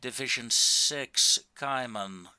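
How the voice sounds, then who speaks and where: A man giving casual, wry and understated commentary on a video game, with drawn-out pauses, into a close microphone. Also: mid-range voice, pushed and bright, clean tone, no echo.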